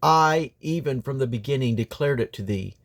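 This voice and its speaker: A man reading the Bible aloud in a solemn, declamatory way, with deliberate phrasing and emphatic stresses. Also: medium pitch, gravelly. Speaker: scripture reader.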